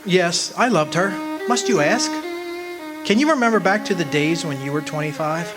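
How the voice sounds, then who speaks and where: A man's voice: fairly high pitch, normal speed, loud music behind the voice, lightly acted. A man acting in a radio drama as an earnest, homesick country man, with a wistful, folksy way of talking. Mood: wistful, solemn. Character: homesick young man of twenty-five, lovesick, earnest, remembering. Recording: noisy live amateur stage recording.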